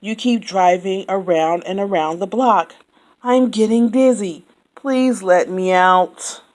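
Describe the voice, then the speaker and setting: A woman's voice: medium pitch, takes it slowly, a little mellow, lightly acted. A woman doing a weary, moaning walrus. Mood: pleading. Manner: moaning, pleading. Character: weary male walrus, pleading passenger.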